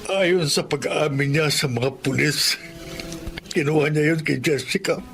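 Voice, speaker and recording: A man in a radio drama who speaks in a measured, formal way and also reads the credits. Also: on the high side, gravelly, a bit bright. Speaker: radio drama actor and announcer. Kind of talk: radio drama acting and announcing.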